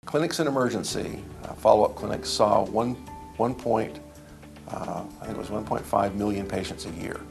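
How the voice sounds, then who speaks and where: A man with a low, gravelly voice tells a long anecdote about his early days in medicine. He rambles warmly through the story with plenty of fillers. Soft guitar plays faintly underneath. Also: moderate pace. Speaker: emergency physician recalling his training. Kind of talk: documentary interview storytelling.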